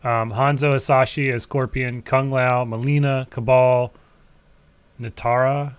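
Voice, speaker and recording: A man's voice: medium pitch, level tone, unhurried, clean tone, no echo. A man co-hosting a gaming show, talking informally and loosely as he chats about old trailers. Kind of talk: casual chat, reacting to old film trailers.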